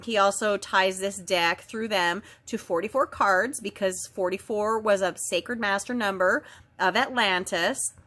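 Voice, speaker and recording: A woman with a head cold and a slightly nasal voice, giving a casual spiritual oracle card reading in a chatty, gentle way. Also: medium pitch. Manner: chatty, gentle, with frequent ums and self-corrections.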